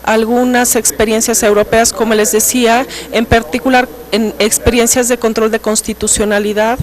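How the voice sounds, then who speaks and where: An interpreter carries an academic lecture in measured chunks, keeping a steady pace. The pitch stays flat with little movement. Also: poor audio. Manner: measured, even delivery in short phrases.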